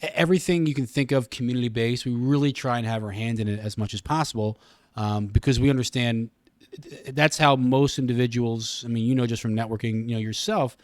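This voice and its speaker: An American man in his thirties talks as an interview guest, explaining at length in casual, conversational speech. His voice sits at a middling pitch, and the sound is dry, with very little room in it. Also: fairly quick, no echo. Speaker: radio station communications director, interview guest.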